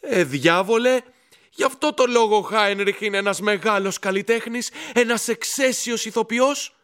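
An actor playing a jovial older tavern drinker in a radio play, exclamatory and emphatic, full of theatrical admiration. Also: male voice, high-pitched, fairly bright tone. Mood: admiring, excited.

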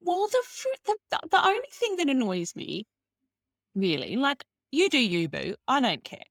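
A woman's voice: sits high, huge pitch swings, normal speed, no room sound, studio quality. A woman guest on a podcast who talks about business bluntly and cheekily, with strong emphasis. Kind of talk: casual interview chat.

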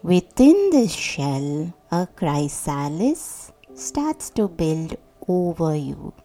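A woman guiding a sleep meditation in a soothing, hushed, deliberate voice with long pauses. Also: deep voice. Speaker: meditation guide. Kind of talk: guided sleep meditation, scripted narration. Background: soft meditation music.

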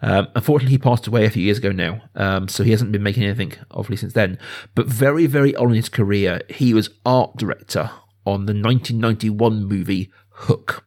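A man around his thirties or forties hosting a casual film discussion, talking enthusiastically in a halting, discursive way. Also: fairly low pitch, a little mellow. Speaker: podcast host.

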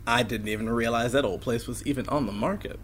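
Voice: medium pitch, fast talker, faint music behind, natural voice. An actor playing a caring, wry parent of a teenager in an audio drama, talking casually. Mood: bemused.

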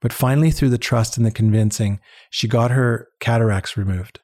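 A man's voice: mid-range voice, moderate pace, darkish tone. A man, a guest in an interview, telling personal stories in a conversational, earnest and reflective way, repeating words for emphasis. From born in Uganda, later lived in Canada. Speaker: interview guest, head of an international eye-care charity.

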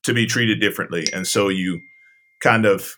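A man's voice: lowish voice, moderate pace, sharp tone, a little hiss or hum. A middle-aged man from southwestern Virginia, speaking candidly and reflectively as an interview guest. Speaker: interview guest, camp director. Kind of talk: conversational interview.

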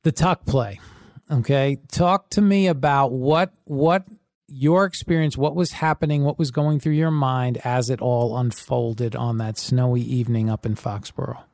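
A man's voice: medium pitch, a little slow, creaky, a little mellow, no echo, studio quality. A man hosting a podcast, interviewing a guest in a conversational way with loose, restarting phrasing. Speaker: podcast host.

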